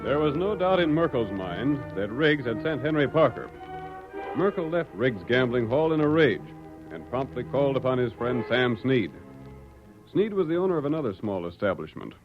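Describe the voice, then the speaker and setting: A man's voice: medium pitch, big pitch swings, normal speed. An American man delivering scripted narration for a 1940s radio drama. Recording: dull old radio recording. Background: music.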